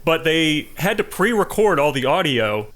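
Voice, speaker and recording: A man's voice: on the high side, big pitch swings, normal speed, a bit bright. A man co-hosting a podcast and casually discussing film. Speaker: podcast co-host.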